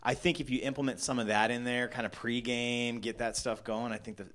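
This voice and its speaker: A middle-aged man hosting a podcast in casual chat, excitable and full of fillers. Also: mid-range voice, a little fast, slight background noise. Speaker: podcast host.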